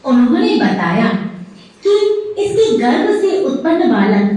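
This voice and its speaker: A woman narrates a moral tale in a high, highly animated voice. Her delivery is slow and dramatic, set out in short phrases, and it rings with heavy echo. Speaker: storyteller. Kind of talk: scripted mythological storytelling in a moral story. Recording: heavily echoing, distant-sounding voice.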